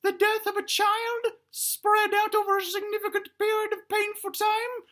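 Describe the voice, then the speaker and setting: Tiny boy dinosaur, acted by a woman, very high, bright, theatrical, old-fashioned phrasing, comic storytelling. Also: flat delivery, moderate pace. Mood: earnest, eager. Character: frightened, earnest little boy dinosaur, pompously self-sacrificing.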